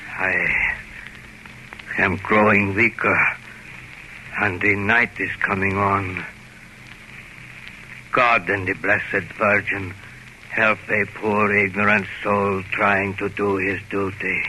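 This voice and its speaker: A man's voice: on the low side, little pitch movement, slow, pauses often, pushed and bright, exaggerated voice. An actor playing a grave, doomed ship's captain keeping his log, with solemn, dramatic 1930s radio delivery. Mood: dread, resigned. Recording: noisy old radio recording.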